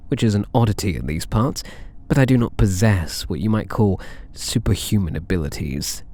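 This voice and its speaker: Man playing possessive British catboy burglar for ASMR roleplay: mellow, unhurried, smug and doting, teasing delivery. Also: fairly low pitch, exaggerated voice. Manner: slow, coaxing, teasing, theatrical endearments. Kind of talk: ASMR roleplay, intimate scripted monologue.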